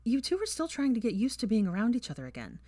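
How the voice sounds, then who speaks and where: A breezy, smug young witch, as a woman reading a storybook puts her on, louder and higher than the narration. She brushes things off bluntly and sounds sure of herself. The sound is dry and close. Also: huge pitch swings, no echo. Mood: nonchalant blunt. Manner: offhand, brisk, self-assured.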